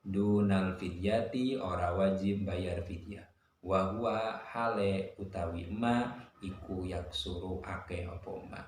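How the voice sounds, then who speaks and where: Low male religious teacher doing a word-by-word text reading, very echoey room, faint hiss. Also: steady tone, a little mellow. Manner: recites Arabic phrases, then glosses them word by word in a measured cadence; poses and answers his own questions.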